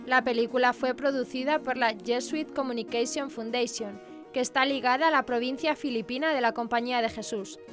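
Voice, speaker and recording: A high-voiced woman from presents scripted news. Her reading is formal bulletin narration, with faint music underneath. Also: a little fast.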